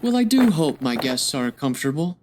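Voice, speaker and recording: A mock-courteous villain in a comedy radio play. His voice is rather high and swoops animatedly. He gloats theatrically over every phrase. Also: very animated, a little fast, noisy background. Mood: gloating.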